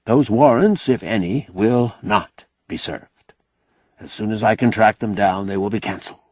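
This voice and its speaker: A man voicing a measured, guarded government secretary with calm authority, formal and restrained, in an audiobook. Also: lowish voice, no room sound, close to an everyday voice. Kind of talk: audiobook character acting.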